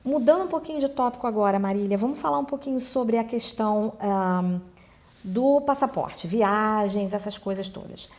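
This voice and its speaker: A woman's voice: mid-range voice, normal speed, darkish tone. A woman with a Brazilian Portuguese accent hosting a conversational interview, agreeable and confirming what is said. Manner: agreeable, often echoes and confirms the guest. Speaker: interview host, immigration lawyer.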